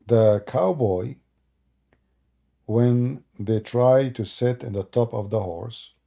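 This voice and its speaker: A man's voice: mid-range voice, slow, creaky, dark tone. A man from the Middle East, a native Arabic speaker, hosting a casual live stream in broken English, sarcastic and joking as he answers his viewers. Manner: sarcastic and joking, mocks the chat.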